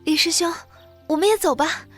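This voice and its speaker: A woman's voice: very high-pitched, moderate pace, fairly bright tone, soft background music. An actress playing a timid, earnest, pleading teenage girl disciple in an audio drama, recorded with a roomy echo.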